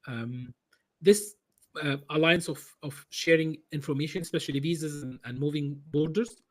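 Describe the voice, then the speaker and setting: A man answering interview questions in a halting way, with many restarts and repeated words. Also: fairly high pitch. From Egypt. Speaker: business consultant guest.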